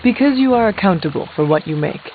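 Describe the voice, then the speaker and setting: An actor playing an earnest, resolute resurrected warrior in a video game, speaking in a formal, measured way. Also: male voice, sits high, expressive, faint music behind. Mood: inquiring. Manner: formal, measured, avoids contractions. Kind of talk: video game character dialogue. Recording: thin, phone-like narrow audio.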